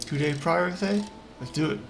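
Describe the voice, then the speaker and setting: A man's voice: on the high side, normal speed, vocal fry. A man giving casual, off-the-cuff commentary as he streams a game. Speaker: gaming streamer. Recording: game music behind the voice.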